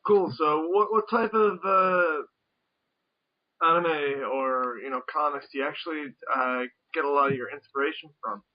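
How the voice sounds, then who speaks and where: A man interviewing casually, phrasing his questions in a halting, tentative way. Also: fairly high pitch. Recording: dull, lo-fi radio-like call audio.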